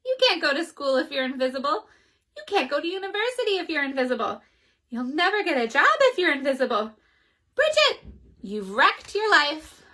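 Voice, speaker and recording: A woman voicing a frantic, fussy mother in a children's story, with panicked, shrill cries. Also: very animated, a little fast, bright, forceful tone, exaggerated voice.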